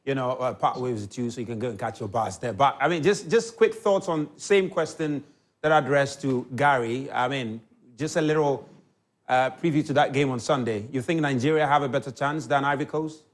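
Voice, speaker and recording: A man's voice: medium pitch, brisk. A man hosting a sports talk show, murmuring acknowledgements and asking hesitant questions. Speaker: host.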